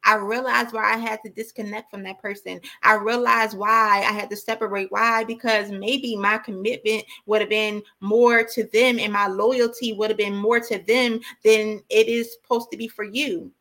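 A woman's voice: medium pitch, little pitch movement, moderate pace, hardly any pauses, sharp tone. A woman giving an impassioned, informal sermon as a preacher, exhorting emphatically and repeating key phrases.

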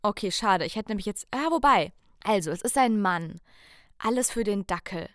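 A woman's voice: mid-range voice, animated, normal speed, dry recording, studio quality. A woman co-hosting a casual podcast, talking playfully and theatrically and addressing listeners directly. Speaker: podcast co-host.

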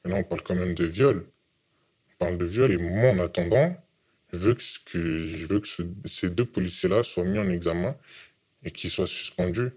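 Young guy with a really deep voice, stumbles and repeats himself, interview. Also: a little mellow, husky. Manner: halting, repeats words. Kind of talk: testimony interview. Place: hidden from camera.

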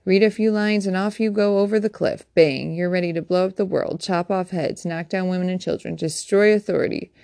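A woman's voice: on the low side, steady tone, brisk, creaky, hardly any pauses, warm tone, no room sound, studio quality. Woman reading as a smug, taunting male fire captain. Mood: taunting, emphatic. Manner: theatrical, pompous, mocking. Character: smug, taunting fire captain, a man who loves quoting.

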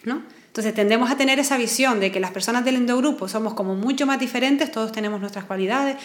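A woman's voice: a little high, fast. A woman with a Canarian Spanish accent, co-hosting a podcast and explaining ideas while often checking in with the listener. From Canary Islands. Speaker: podcast co-host. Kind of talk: casual explanatory conversation. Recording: roomy echo.

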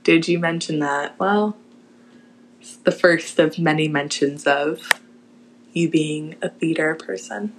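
Easygoing young woman co-host, lower voice, teasing and giggly. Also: slow pace, pauses often, slight background noise, poor audio. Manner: laid-back, teasing, giggly. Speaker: podcast co-host. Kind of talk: casual chat.

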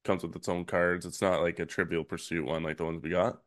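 A man hosting a podcast, his voice raspy and hoarse from a recent illness, reading trivia questions slowly in casual chat. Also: sits low, dry recording, studio quality. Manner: reads questions slowly, relaxed filler-heavy talk. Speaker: podcast host.

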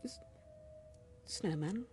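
A woman's voice: drawn-out words, soft background music. A woman playing a fussing, easily alarmed suburban mother with a British accent in a radio horror drama, maternal and chiding. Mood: dismissive, uneasy. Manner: maternal, expressive.

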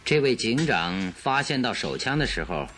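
An actor playing a shrewd, composed detective who questions with measured authority in a radio drama. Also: male voice, mid-range voice, big pitch swings, a bit bright. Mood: analytical.

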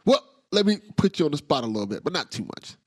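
Husky-voiced man hosting a podcast. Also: a little high, very animated, fast, dry recording, studio quality. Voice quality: slangy, hyping agreement. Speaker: podcast host. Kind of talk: casual interview chat.

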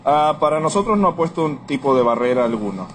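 A man's voice: on the high side, sing-song, quick pace. Formally spoken man, noisy surroundings. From Puerto Rico. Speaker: survey respondent. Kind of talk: formal spoken interview answers. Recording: noisy on-location interview recording.

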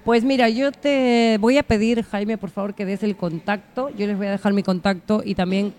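A woman's voice: medium pitch, fairly even intonation, a little mellow. Emphatic woman hosting a radio talk show. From Argentina. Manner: emphatic and persuasive, quick agreement.

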